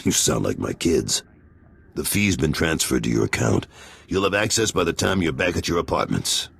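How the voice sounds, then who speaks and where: An actor playing a gruff, weary crime underboss in a video game, blunt and dryly sardonic, with a gravelly, husky voice. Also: male voice, rumbling low, expressive, normal speed, fairly bright tone, full character voice. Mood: wry, businesslike. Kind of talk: video game character acting.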